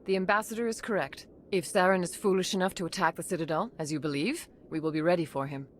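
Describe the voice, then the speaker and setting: Woman as composed low-pitched alien councilor. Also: slight background noise, close to an everyday voice. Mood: calm, diplomatic. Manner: measured, formal. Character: composed female alien councilor, diplomatic and authoritative. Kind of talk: video game voice acting. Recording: music behind the voice.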